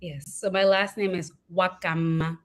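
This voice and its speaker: Measured, reflective middle-aged woman guest from California, slightly low-pitched. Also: fairly even intonation. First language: Igbo. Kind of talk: casual interview chat.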